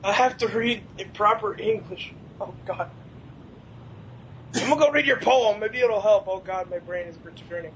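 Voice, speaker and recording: Young male co-host, very high voice, giggly self-mocking banter, dull lo-fi voice-chat recording. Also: normal speed. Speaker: podcast co-host and amateur comedy writer. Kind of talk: casual group banter. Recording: dull lo-fi voice chat, noisy rumble.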